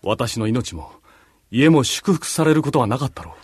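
A man's voice: mid-range voice, animated, normal speed, slightly rough, no room sound. A man playing a reflective, devout disciple on a journey in a radio drama, speaking earnestly. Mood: subdued, thankful. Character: reflective, devout male disciple, Cleopas's travelling companion.

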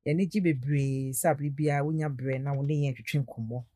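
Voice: mid-range voice, fairly even intonation, fairly quick, warm tone, no room sound, studio quality. A radio talk show host, welcoming guests and often murmuring agreement. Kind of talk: casual radio chat.

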